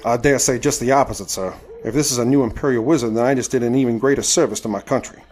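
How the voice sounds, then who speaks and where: A man's voice: mid-range voice, fast talker, a little mellow, slightly rough, lightly acted. An actor playing a proud, indignant Southern crossbowman of the 1870s in an audio drama, with formal, theatrical speech. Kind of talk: audio drama with first-person narration.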